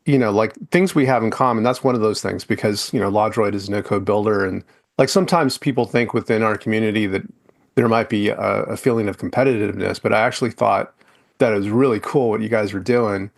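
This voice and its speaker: A man's voice: fairly low pitch, quick pace, creaky. A man hosting a podcast interview, talking loosely with lots of fillers and restarts. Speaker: podcast host. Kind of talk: casual interview chat.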